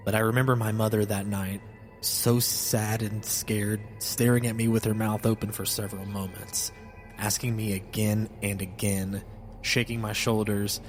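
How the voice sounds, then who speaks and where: A man's voice: on the low side, darkish tone. A man reading a scripted first-person horror story as a solemn, literary narrator. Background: music.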